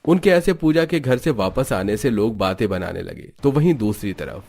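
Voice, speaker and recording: A man narrating a cartoon family story in a plain, even storytelling voice. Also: lowish voice, normal speed, gravelly, slight background noise.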